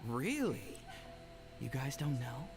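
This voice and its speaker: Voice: mid-range voice, very animated, slow, over loud music. An actor playing a brash, cocksure townsperson in a video game, talking in a casual, persuasive salesman's manner. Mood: persuasive, conspiratorial. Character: brash, cocksure townsperson hyping get-rich wishes. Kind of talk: animated video game voice acting.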